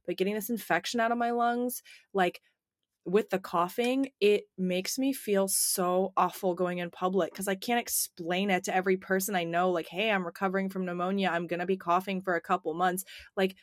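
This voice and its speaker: A young woman in her twenties with a faint rasp from a lingering cough, chatting casually on a podcast. She fills her sentences with "like" and restarts, and her voice is at a medium pitch. The sound is dry and close, with no room echo. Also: fairly even intonation, moderate pace. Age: 20s. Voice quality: slightly raspy. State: slightly raspy, recovering from a cough. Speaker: podcast co-host. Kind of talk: casual chat.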